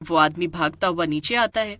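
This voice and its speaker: A woman's voice: on the low side, normal speed, sharp tone, no echo. A woman narrating a scripted moral tale as a dramatic storyteller.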